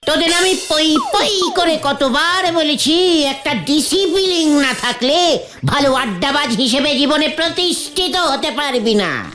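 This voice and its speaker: A woman's voice: high-pitched, animated, unhurried. A woman voicing a boastful male slacker who leads idle village boys in a cartoon, speaking pompously with stilted formal turns. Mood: scolding, bossy. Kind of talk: exaggerated comic cartoon acting.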